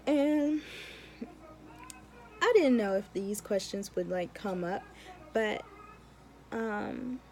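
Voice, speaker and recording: A young woman talks in a casual, chatty vlog style at a middle pitch. She is informal and emphatic, repeating words for effect as she gives advice like a student talking to friends. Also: animated, very slow, long pauses, a little mellow, faint music behind. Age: young adult. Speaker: law student vlogger.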